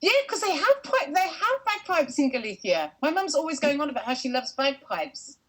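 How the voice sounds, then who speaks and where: A woman guest comedian telling family stories on a video call, filling pauses with um and acting out quotes. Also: high voice, big pitch swings. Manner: fills pauses with um, quotes people in voices. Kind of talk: anecdotal comedy chat.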